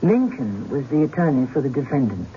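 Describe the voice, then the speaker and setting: A man's voice: mid-range voice, very animated, a little fast. A man giving scripted, theatrical narration as the host of a radio drama. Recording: dull, noisy old radio broadcast.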